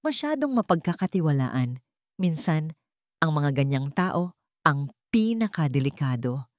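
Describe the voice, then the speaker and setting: Woman voicing a smooth, wealthy young grandson. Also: low-pitched, sing-song, measured pace, no echo, clean studio sound. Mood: cold, suspicious. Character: smooth, charming rich young grandson with a cold streak.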